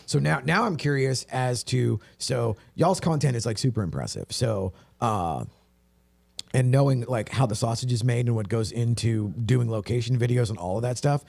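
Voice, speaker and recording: A man's voice: medium pitch, lively intonation, normal speed, creaky, a little mellow. A man hosting a casual interview, chatty and rambling with many restarts. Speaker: podcast host.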